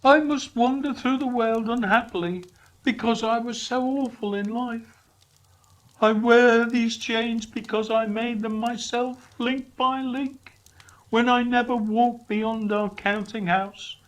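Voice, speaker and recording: A sorrowful storybook ghost of a dead businessman, acted by a male reader, pitched high and wailing. He draws his words out slowly and solemnly, full of regret. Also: fairly even intonation, a bit bright, full character voice. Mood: anguished. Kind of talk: dramatic storybook reading.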